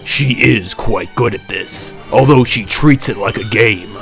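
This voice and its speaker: A man's voice: lowish voice, measured pace, creaky, a bit bright, faint music behind. A man playing a grim, brooding masked vigilante in a comic dub, terse and stern.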